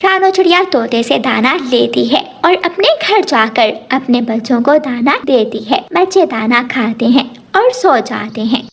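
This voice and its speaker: High-pitched woman storyteller. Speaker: narrator. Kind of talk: scripted children's story narration.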